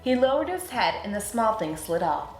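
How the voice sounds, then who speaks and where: A woman narrating a children's storybook as a read-aloud host, with expressive, clearly phrased delivery. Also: medium pitch, normal speed. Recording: roomy sound, music underneath.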